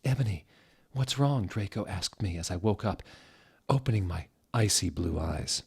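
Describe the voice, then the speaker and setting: Male narrator, lowish voice, mock-dramatic and deadpan delivery of scripted prose. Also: moderate pace, gravelly, breathy edge. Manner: deadpan, reads typos and punctuation aloud. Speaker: host reading fan fiction aloud.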